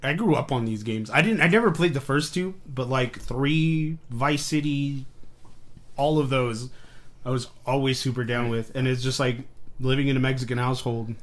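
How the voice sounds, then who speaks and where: A man's voice: medium pitch, normal speed. A young man from El Salvador hosting a casual podcast, joking and loose, swearing freely. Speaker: podcast host. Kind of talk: casual chat.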